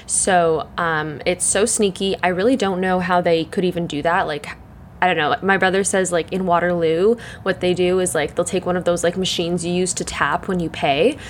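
Young girl with a croaky stuffed-up morning voice, kinda low, rambly and chatty. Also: steady tone, normal speed, slight background noise. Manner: rambling, filler-heavy, chatty. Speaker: podcast host. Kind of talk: casual solo chat.

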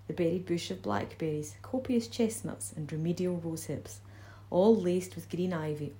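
A woman from Scotland reading a poem aloud in a measured, deliberate way. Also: deep voice, warm tone. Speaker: poetry reader. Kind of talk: poetry reading.

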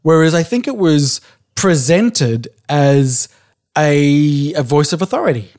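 A man's voice: fairly high pitch, expressive, slow, a little mellow. A man with an Australian accent co-hosting a podcast, arguing his points insistently and swearing casually. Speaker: podcast co-host.

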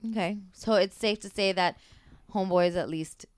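A woman co-hosting a casual chat, playful and quick to laugh, often jumping in. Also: mid-range voice, smooth, dry recording. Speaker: podcast co-host.

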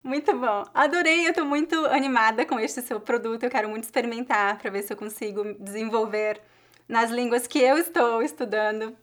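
A woman host who teaches languages, speaking in a fairly high voice. She sounds friendly and encouraging, often checking in with the listener as she talks to her guest and to her viewers. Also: a little fast. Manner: friendly and encouraging, with frequent "né?" tags. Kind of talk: interviewing and closing a video.

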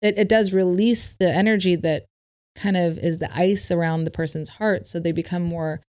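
A woman on a podcast as a guest, explaining breathwork calmly in casual conversation, with easy laughter. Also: fairly low pitch, normal speed. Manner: calm, explanatory, laughs easily.

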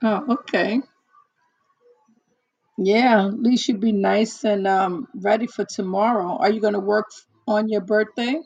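A hoarse woman in casual one-on-one chat, talking haltingly with many fillers and restarts. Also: mid-range voice, steady tone, darkish tone, no room sound, muffled recording. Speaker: chat partner.

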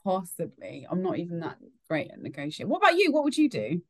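A woman's voice: fairly low pitch, huge pitch swings, fast. A woman guest on a podcast, chatting casually in a jokey, self-deprecating and sweary way. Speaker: podcast guest, actor and writer. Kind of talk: casual chat.

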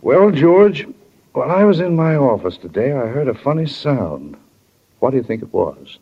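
A man's voice: mid-range voice, very animated, moderate pace, slightly rough. A man playing a stern but kindly small-town father in a 1940s radio drama. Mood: gently reproving. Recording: dull 1940 radio recording.

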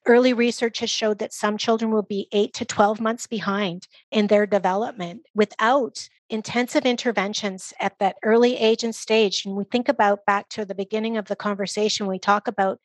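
Female expert interviewee, medium pitch, conversational and explanatory, very dry recording. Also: dry recording. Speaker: literacy program guest expert.

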